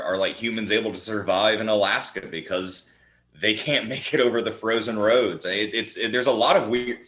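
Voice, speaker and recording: A man's voice: on the low side, sharp tone. A man chatting casually about films as a podcast guest, riffing playfully and slipping into mimicked dialogue. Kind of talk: casual film chat. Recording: roomy, echoing voice.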